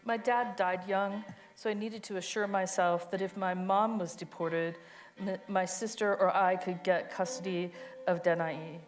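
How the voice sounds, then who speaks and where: A woman's voice: lowish voice, steady tone, unhurried, soft background music. Woman tenderly reading a personal monologue. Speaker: co-reader of a monologue. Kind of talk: dramatic reading of an autobiographical monologue.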